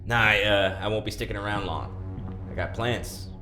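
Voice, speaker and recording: A man's voice: fairly low pitch, normal speed, pushed and bright. A man playing a casual, awkward escape leader in an audio drama, speaking with stammering, conversational hesitancy. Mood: probing, wry. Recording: echoing room, music behind.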